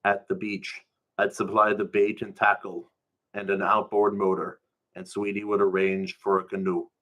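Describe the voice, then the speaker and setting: Low-pitched man reading a story aloud. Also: monotone, moderate pace, fairly bright tone, highs cut off. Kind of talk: first-person narrative reading, live.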